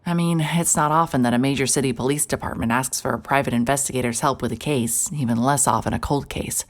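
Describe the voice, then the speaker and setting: An actress playing a sardonic, hard-hearted private investigator who talks in dry, wisecracking sarcasm. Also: female voice, a bass voice, fairly even intonation, quick pace. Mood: wry narration. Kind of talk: audio drama acting and first-person narration.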